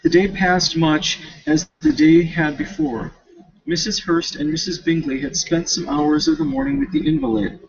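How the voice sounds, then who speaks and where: A man with a high, lively voice reads a novel aloud, dropping in casual asides. His delivery is expressive, with the odd stumble, and there is a creaky edge to it. The recording is dull and lo-fi. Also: moderate pace. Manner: expressive, stumbling over words. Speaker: student.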